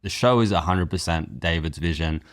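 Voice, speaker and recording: Male script producer, deep voice, dry close sound. Earnest, reflective podcast talk, thinking aloud through questions. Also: moderate pace, fairly bright tone, dry recording. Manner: earnest, explains by posing rhetorical questions. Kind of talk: reflective behind-the-scenes podcast conversation.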